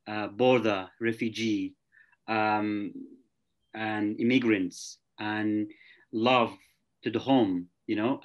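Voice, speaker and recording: A man moderating a film festival Q&A over a video call, asking questions with frequent restarts and fillers. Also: on the low side. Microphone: video call laptop mic.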